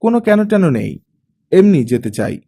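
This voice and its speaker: A man's voice: high voice, big pitch swings, moderate pace, gravelly, dark tone, breathy edge, pitched above the performer's own voice, exaggerated voice. A man voicing a stubborn, curt young mother in an audiobook, speaking insistently.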